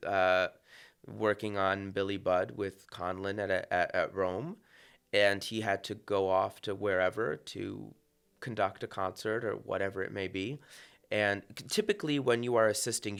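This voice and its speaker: A man with a low, smooth, resonant voice, interviewed as a conductor on a podcast. He speaks reflectively, breaking off and restarting his thoughts with fillers as he goes. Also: normal speed, fairly bright tone.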